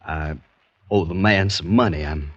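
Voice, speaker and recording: A man's voice: low voice, measured pace. An actor playing a nervous, guilt-haunted man on the run who stammers as he speaks, in 1940s radio acting. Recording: muffled old radio broadcast with faint hiss.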